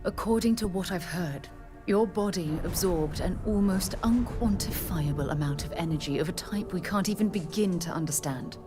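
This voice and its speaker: A woman's voice: fairly low pitch, normal speed, hardly any pauses, close to an everyday voice. An actress playing an earnest, articulate woman scientist in a space adventure video game. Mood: concerned, reassuring. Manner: articulate, thoughtful. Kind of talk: video game dialogue acting. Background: game music.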